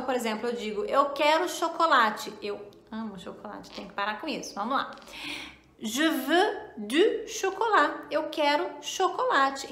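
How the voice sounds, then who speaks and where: A woman teaching French in an online lesson, patiently repeating each example slowly. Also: sits high, fairly bright tone, reverberant. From Portugal, raised in Brazil.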